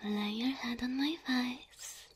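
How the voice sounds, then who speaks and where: A woman voicing a gentle, devoted, insecure cow girl girlfriend in soft, halting, intimate ASMR roleplay, often whispering. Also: fairly high pitch, slight background noise. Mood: tender, soothing.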